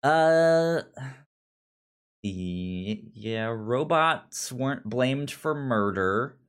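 A man's voice: medium pitch, animated, extremely slow, smooth. A man co-hosting a podcast in casual pop-culture chat, rambling and repeating words and phrases as he goes. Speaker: podcast co-host.

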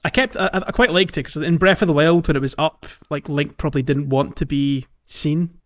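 A man's voice: on the high side, moderate pace. Man chatting on a slightly dull mic. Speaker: podcast host. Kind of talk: casual gaming podcast chat.

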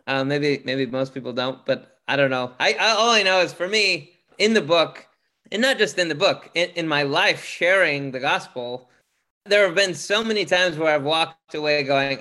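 A man with a loud, booming voice, speaking as a guest in a casual interview, earnest, with many restarts. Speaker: podcast guest, author.

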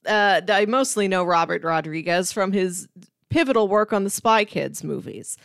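A woman's voice: medium pitch, moderate pace, creaky, smooth, dry recording, studio quality. A woman hosting a casual film discussion, talking in an enthusiastic, analytical, rambling way full of "like". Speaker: film podcast host.

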